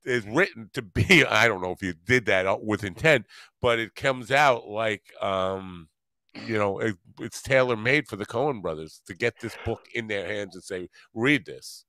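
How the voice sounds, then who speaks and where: A middle-aged American man chats casually as a podcast host. His voice is fairly low and clean, with halting, wandering phrasing. It is recorded close and dry on a studio mic. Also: animated, sharp tone, no echo. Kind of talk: casual interview chat. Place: home studio. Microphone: microphone on stand close to mouth, headset.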